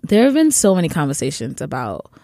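Chatty woman co-host, bubbly, laughs a lot, slightly low voice, dry close sound. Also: wildly swooping pitch, a little fast, creaky, mellow, dry recording. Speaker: podcast co-host. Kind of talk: casual chat.

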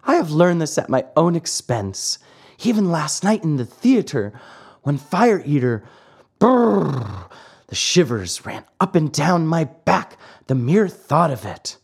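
An eager, naive wooden puppet boy from a storybook, as a man puts him on. He talks high and excited, swooping up and down as he pleads. The delivery is slow and deliberate. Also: vocal fry, husky, full character voice. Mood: pleading.

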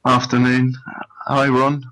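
A man's voice: medium pitch, completely flat delivery, slow pace, a bit bright, poor audio. A man from England, a guest paranormal investigator, chatting in a friendly, hesitant way. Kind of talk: casual interview chat.

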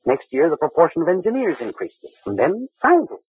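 A vintage, narrow-band radio drama recording of an earnest clerk. He talks quickly in a slightly high, husky voice, stammering and deferring to his superior as he presses on. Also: huge pitch swings, no echo, exaggerated voice. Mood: earnest, intrigued. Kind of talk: old-time American radio drama acting.

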